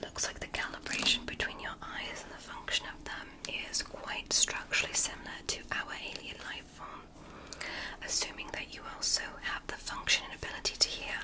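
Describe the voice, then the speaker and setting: A woman whispering as a gentle alien doctor. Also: a little fast, natural voice, poor audio. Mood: soothing. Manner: soft, gentle, reassuring, clinically precise. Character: calm, clinical female alien doctor examining a human. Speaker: ASMR roleplay creator. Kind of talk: whispered ASMR roleplay.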